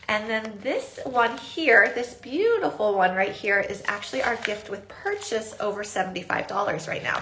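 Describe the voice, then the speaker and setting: A woman's voice: medium pitch, fairly bright tone, slight background noise. Sniffly woman hosting a live craft stream. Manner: chatty, greets viewers by name.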